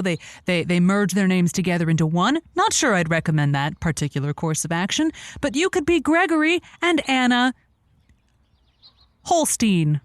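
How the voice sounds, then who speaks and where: A woman's voice: a little high, no echo, clean studio sound. A woman playing an eager, inexperienced late-night radio host who rambles and stammers with chirpy politeness in an audio comedy. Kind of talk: audio comedy drama acting.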